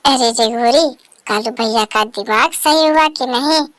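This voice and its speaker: Woman voicing a high-pitched, concerned cartoon bird. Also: unhurried, fairly bright tone, no room sound. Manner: urging, repetitive. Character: busybody female bird friend, concerned and advising. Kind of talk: Hindi animated bird story dialogue.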